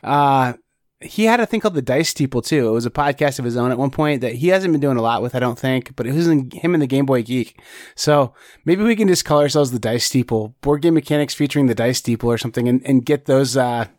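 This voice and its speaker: A man's voice: medium pitch, fast talker, a little mellow, no echo, studio quality. A man co-hosting a podcast, chatting casually and rambling with frequent restarts. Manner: rambling, self-correcting, chatty. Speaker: podcast co-host. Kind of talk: casual chat.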